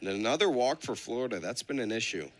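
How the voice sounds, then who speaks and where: A man giving measured, analytical color commentary on a live radio baseball broadcast. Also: medium pitch, animated.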